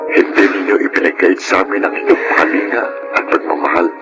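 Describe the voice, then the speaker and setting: Low-voiced, earnest young son played by an actor. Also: male voice, brisk, over music, close to an everyday voice, low-quality recording. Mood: sincere, reassuring. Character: earnest, cautious young adult son, dutiful and level-headed. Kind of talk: Filipino radio drama acting.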